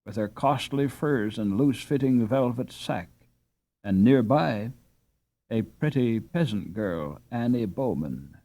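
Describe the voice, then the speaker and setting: A man's voice: medium pitch, dark tone. Man narrating a classic storybook. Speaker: audiobook narrator.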